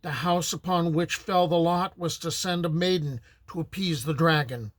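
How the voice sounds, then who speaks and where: Middle-aged american guy reading old folk tales, kinda high voice, super dry close mic, easygoing pace. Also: dry recording. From United States. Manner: plain, unhurried storytelling voice with small restarts. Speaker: storyteller and channel host. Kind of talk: folktale narration.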